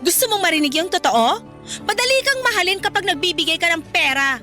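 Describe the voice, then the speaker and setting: A woman's voice: extremely high pitch, animated, fast, bright, forceful tone, full character voice. A woman playing a brash, manipulative young girlfriend in a radio drama, with a pushy, dramatic manner. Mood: angry, scornful. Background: faint background music.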